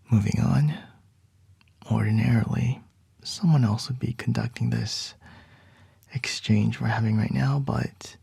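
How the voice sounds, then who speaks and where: A man playing a calm, charming male CEO boss in an ASMR roleplay, soft and reassuring with a light teasing edge. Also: medium pitch, creaky, husky, no echo, exaggerated voice.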